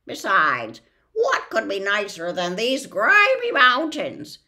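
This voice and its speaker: A woman voicing a gleeful, interrupting male bird who cackles and talks loudly. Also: medium pitch, wildly swooping pitch, slow pace, exaggerated voice. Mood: gleeful, shrill. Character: shrill gleeful male word-snatching bird.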